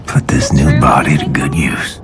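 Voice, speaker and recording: An actor playing a weary, gruff soldier hero in a video game, speaking in a low gravelly murmur. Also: male voice, flat delivery, normal speed, music in the background. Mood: solemn, resolute.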